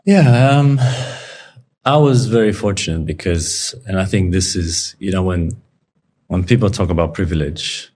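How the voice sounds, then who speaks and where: A young man who grew up in Cyprus, whose first language is Bulgarian, talking through his story in a relaxed, reflective way with lots of fillers. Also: low-pitched, moderate pace, a little hiss or hum. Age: young adult. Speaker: guest. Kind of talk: casual interview conversation.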